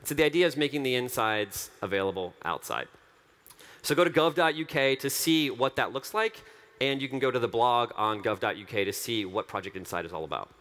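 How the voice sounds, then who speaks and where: A man's voice: mid-range voice, fairly quick, a bit bright. Keynote speaker: man in his thirties, earnest and upbeat, mid-range voice, headset mic on a big stage. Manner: earnest, enthusiastic, repeats phrases for emphasis. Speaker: company co-founder giving a keynote. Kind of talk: conference keynote speech. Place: large dark conference stage.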